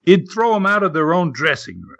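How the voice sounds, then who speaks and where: A man's voice: a little high, a little fast, creaky. Man telling stories in casual back-and-forth dialogue. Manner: folksy, animated retelling, acting out both sides of conversations. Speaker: interview guest recalling wrestling history. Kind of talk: casual storytelling with re-enacted dialogue.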